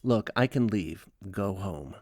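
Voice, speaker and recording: A man playing a reserved, wary former professor in an audio drama, formal and deliberate in his speech. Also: fairly low pitch, lively intonation, darkish tone. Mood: grave warning. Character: reserved, wary male former philosophy professor back from away.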